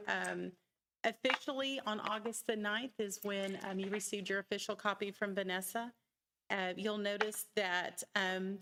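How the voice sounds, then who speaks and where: Woman presenting at a noisy public meeting. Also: medium pitch. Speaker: city finance official presenting a budget. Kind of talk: formal public budget presentation. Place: council meeting room.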